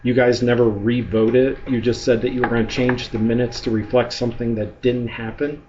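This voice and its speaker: A man's voice: medium pitch, flat delivery, moderate pace. A man speaking as a member of the public at a government meeting, pressing officials with persistent, probing questions. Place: indoor public meeting room. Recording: muffled, noisy meeting-room recording.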